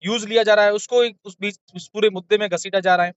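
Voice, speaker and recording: A man interviewing someone by phone, probing in a halting way and rephrasing his questions as he goes. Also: high voice, steady tone, clear, resonant tone. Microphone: telephone. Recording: dull lo-fi phone call recording.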